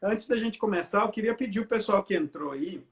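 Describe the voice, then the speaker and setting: High-voiced man hosting a live chat. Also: brisk. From Belo Horizonte, Brazil. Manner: chatty, loose and conversational. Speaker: live stream host. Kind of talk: casual live video chat. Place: at home by a window. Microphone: video call.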